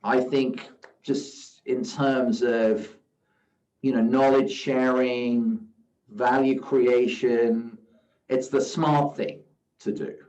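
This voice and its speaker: Slow-talking man in a roomy distant recording. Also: mid-range voice, little pitch movement, darkish tone. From South Africa. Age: middle-aged. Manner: measured, often says "you know". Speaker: guest investor. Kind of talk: reflective interview answers. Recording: roomy, distant, dull sound.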